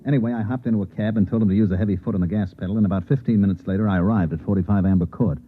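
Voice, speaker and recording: A man's voice: fairly low pitch, racing, breathy edge. An actor playing an insistent, earnest newspaperman in an old-time radio drama, with urgent, clipped delivery. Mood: narrating. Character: insistent, earnest newspaperman narrating his strange night. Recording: muffled, narrow-band old radio recording.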